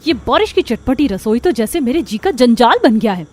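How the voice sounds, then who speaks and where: महिला की आवाज़ में झुंझलाई जवान बहू, ऊँचा सुर. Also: female voice, a little high, very animated, moderate pace, darkish tone. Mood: exasperated. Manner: exasperated, complaining. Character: harried, resentful young daughter-in-law, grumbling housewife. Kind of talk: Hindi family cartoon dubbing.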